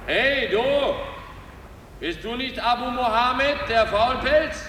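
A man's voice: very high-pitched, lively intonation, sharp tone, exaggerated voice. An actor playing a mysterious, insistent horseman who calls out emphatically in a 1950s German radio drama. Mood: insistent, reassuring. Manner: calling out slowly, emphatic. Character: mysterious horseman, insistent and reassuring brother of a snake. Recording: echoey hall with noisy ambience.

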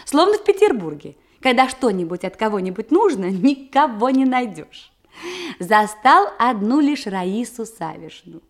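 An actress playing a sly, lively young bride who feigns modesty in a Russian radio comedy, with an expressive theatrical delivery. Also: female voice, mid-range voice, natural voice.

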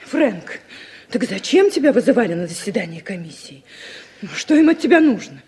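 An anxious wife played by an actress speaks in a mid-pitched voice, questioning and concerned. The style is old Soviet-era radio drama acting. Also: female voice, sing-song, moderate pace, a little mellow, big-room sound, natural voice. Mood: worried, insistent.

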